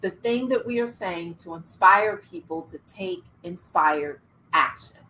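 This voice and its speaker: A middle-aged woman coaching entrepreneurs in an online workshop, energetic, laughing and asking the audience questions. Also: lowish voice, measured pace, poor audio. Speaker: business coach. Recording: faint background noise.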